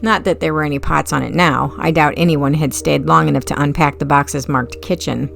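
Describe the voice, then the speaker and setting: A woman's voice: deep voice, fairly even intonation, brisk, creaky, fairly bright tone, soft background music. A woman reading first-person fiction as an audiobook narrator, in a wry, conversational way.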